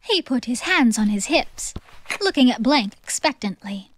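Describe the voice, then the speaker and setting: A woman's voice: on the high side, lively intonation, moderate pace, slight background noise. A woman reading scripted, dramatic story narration as the narrator of an audiobook. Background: background music.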